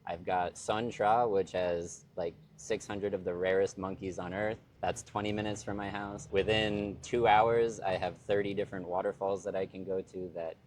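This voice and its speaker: A man's voice: low-pitched, normal speed, hardly any pauses, a little hiss or hum. A man being interviewed, talking casually and loosely about his life abroad, with lots of "like" fillers. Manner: relaxed, rambling, heavy use of "like". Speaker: interview guest, expat living in Vietnam. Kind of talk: casual conversation.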